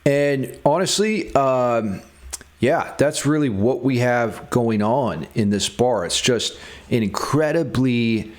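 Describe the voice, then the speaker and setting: A man's voice: mid-range voice, expressive, slow. A man giving a casual guitar theory tutorial, thinking aloud and enthusiastic about the material as he explains it. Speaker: guitar music theory teacher.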